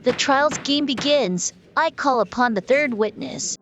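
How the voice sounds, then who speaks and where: A formal cartoon court official, played by a woman makes announcements in a fairly high voice over faint music. She is officious yet deferential, and the audio is slightly dull. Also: expressive, a little slow. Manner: officious, polite.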